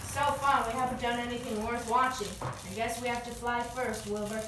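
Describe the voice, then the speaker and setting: Amateur radio play: earnest young aviator-inventor, woman performer, high-pitched voice, heavily reverberant, noisy recording. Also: flat delivery, moderate pace, close to an everyday voice. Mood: calm, companionable. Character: Orville Wright, eager young male inventor, excited first flyer. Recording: echoey room, distant mic, noisy hiss.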